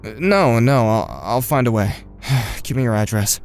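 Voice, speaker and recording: A man's voice: mid-range voice, measured pace, soft background music, lightly acted. A man playing a shaken, subdued teenage boy in an audio drama, hesitant and weary. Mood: wary, hesitant. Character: shaken, subdued teenage boy narrating his own story. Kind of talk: first-person audio drama narration and dialogue.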